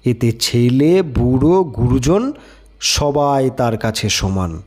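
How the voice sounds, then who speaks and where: A man narrating a scripted literary story as an audiobook, with expressive storytelling delivery. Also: mid-range voice, slow. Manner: expressive storytelling, acts out characters' lines.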